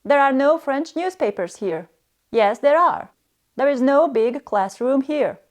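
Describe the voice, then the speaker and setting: A woman's voice: high-pitched, animated, measured pace. A woman narrating a scripted language lesson as a stern, harsh and demanding teacher.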